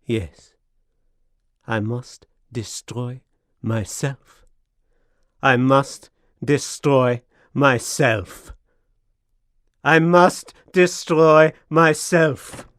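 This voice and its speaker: An actor playing a tormented, paranoid gentleman losing his mind, in a theatrical radio suspense style with a husky voice. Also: medium pitch, very slow, pauses often, no echo, studio quality. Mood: desperate, unhinged. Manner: theatrical, brooding monologue swelling to frenzy. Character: tormented paranoid Frenchman gentleman descending into madness. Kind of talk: radio suspense drama acting.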